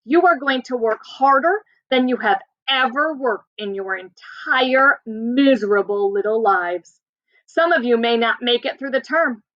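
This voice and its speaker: A woman's voice: on the high side, unhurried, fairly bright tone, no room sound, highs cut off. A woman reading as a stern, barking teacher. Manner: snarling, barking commands.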